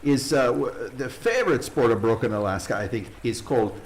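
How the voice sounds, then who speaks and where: A man playing a cheerful, boastful adventure guide with a Latin American Spanish accent, earnest and halting as he searches for words in a comic mockumentary. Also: medium pitch, expressive, lightly acted. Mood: eager, earnest.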